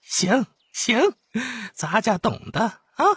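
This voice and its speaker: A man's voice: sits high, big pitch swings, slow, fairly bright tone, slightly rough, no echo, studio quality, pitched above the performer's own voice, exaggerated voice. A man voicing a wily, chummy court eunuch with a grand, folksy manner in an audiobook. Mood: pleased.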